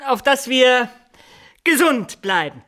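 An actress playing a bossy, tipsy woman presiding over drinks in a radio drama, commanding and drawn-out. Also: sits high, big pitch swings, a bit bright, exaggerated voice. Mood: boozy, commanding. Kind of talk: German radio drama acting.

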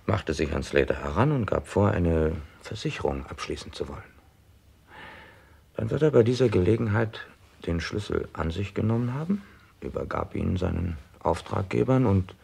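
A police inspector in a mid-century crime radio drama. His voice is very low, gravelly and husky. He is calm, methodical and quietly persuasive. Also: exaggerated voice. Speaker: actor in a crime radio play.

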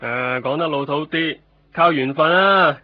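An actor playing a blunt, streetwise dealer in a radio drama, slangy and grumbling, with a Hong Kong Cantonese accent. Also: male voice, fairly high pitch, unhurried, close to an everyday voice. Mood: discouraging. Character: grumbling, streetwise male collectibles dealer friend. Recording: muffled 1990s radio, music behind.

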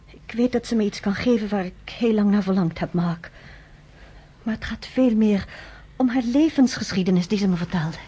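An actress playing an earnest, resolute woman in a radio drama, speaking in a measured way. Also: mid-range voice, dark tone, breathy edge, natural voice. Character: earnest, resolute grown woman who has chosen a side.

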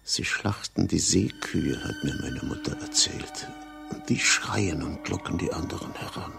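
A man's voice: low-pitched, a little slow, vocal fry, over music, exaggerated voice. A man playing a dazed, haunted witness in a radio drama monologue, detached and brooding.

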